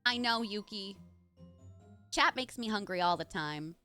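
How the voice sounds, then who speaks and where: A woman streaming a game live, chatting excitably with her viewers, with faint music behind her. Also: medium pitch, a little slow. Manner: chatty, warm to chat, excitable.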